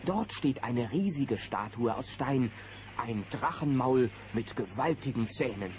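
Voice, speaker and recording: An actor as the narrator of a children's adventure audio drama, giving scripted dramatic storytelling. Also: male voice, mid-range voice, expressive, measured pace, natural voice. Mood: vivid, suspenseful. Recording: very noisy old cassette transfer.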